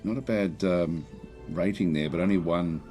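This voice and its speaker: A man with a croaky voice casually talking through a strategy game, thinking aloud with many fillers and restarts. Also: sits low, normal speed, dark tone, soft background music. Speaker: gameplay commentator.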